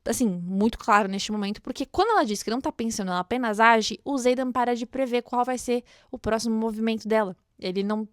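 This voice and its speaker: A Brazilian woman with a fairly high voice talks through a book in a casual, chatty way. She is expressive and gushing as she comments for her audience of fellow readers. The recording is close and dry. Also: fast, hardly any pauses, no echo. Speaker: host of a book read-along show. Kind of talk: casual book commentary with excerpts read aloud.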